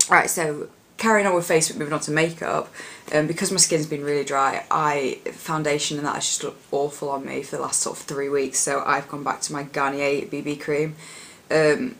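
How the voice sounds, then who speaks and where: A young woman with a husky voice, chatting casually about her favourite products in a rambling, filler-heavy way. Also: low-pitched, flat delivery, normal speed, a bit bright, slight background noise. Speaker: beauty vlogger. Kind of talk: casual product chat to camera.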